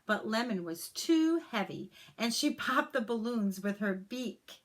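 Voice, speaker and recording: Female storybook reader, mid-pitched, unhurried and expressive, read-aloud for young children.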